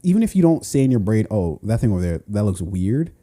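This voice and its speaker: A man co-hosting a casual podcast chat, thinking out loud in a rambling way, restarting sentences and swearing freely. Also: on the low side, sing-song, quick pace. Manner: rambling, profane, restarts often. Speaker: podcast co-host.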